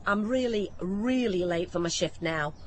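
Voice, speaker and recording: A woman playing a brash, nosy older barmaid with a Northern English accent, blunt and bantering, in a naturalistic radio play. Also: fairly low pitch. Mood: defiant, scornful. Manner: blunt, bantering, quick to retort.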